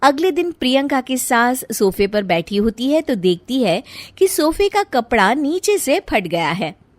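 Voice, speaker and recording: A woman's voice: sits high, big pitch swings, measured pace, no room sound. A woman reading scripted narration for a story, as the narrator.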